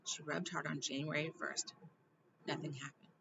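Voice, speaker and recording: A woman reading a children's novel aloud informally, with animated delivery and the odd stumble and aside. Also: low voice, normal speed, vocal fry, lo-fi sound, background noise. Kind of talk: expressive amateur read-aloud with asides.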